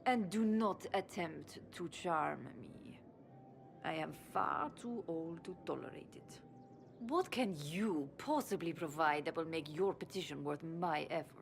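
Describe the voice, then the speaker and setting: A woman's voice: low voice, lively intonation, a little slow, gravelly, a little hiss or hum, full character voice. An actress playing a haughty, aging female noble minister in a video game, speaking imperiously and with disdain. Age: older.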